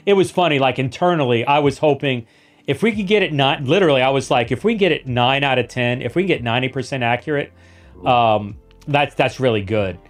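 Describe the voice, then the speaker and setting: A man's voice: mid-range voice, brisk, soft background music. A man hosting a podcast in casual conversation, rambling with lots of restarts and repeated words. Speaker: podcast host.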